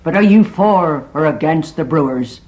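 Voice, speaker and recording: Strict country innkeeper woman, low voice, blunt and suspicious, farce style. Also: animated, moderate pace, darkish tone, poor audio. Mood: stern, dry. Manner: blunt, curt, formal. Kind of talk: theatrical farce acting.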